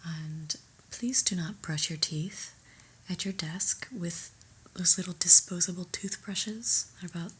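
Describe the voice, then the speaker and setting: A woman whispering an ASMR roleplay as a prim, exasperated new office boss, deadpan and politely condescending. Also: on the low side, measured pace, slightly rough. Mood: dry disapproval.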